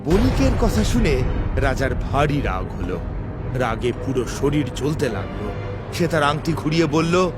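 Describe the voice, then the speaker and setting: A man's voice: mid-range voice, big pitch swings, a little slow. A man narrating a scripted fairy tale as a dramatic storyteller. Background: music.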